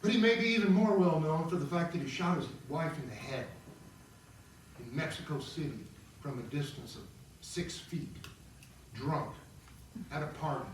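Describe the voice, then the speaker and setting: Narrator for opera table read: man, higher-pitched voice, heavily echoing room, music. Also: moderate pace, long pauses, slight background noise. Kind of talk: reading stage directions and explaining to audience. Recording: very echoey room, distant mic, music.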